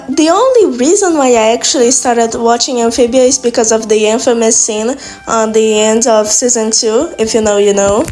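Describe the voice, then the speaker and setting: Rambling young Brazilian woman, mellow tone. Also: fairly high pitch, poor audio. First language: Portuguese. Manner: rambling, hesitant, many restarts. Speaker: video creator. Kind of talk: casual monologue.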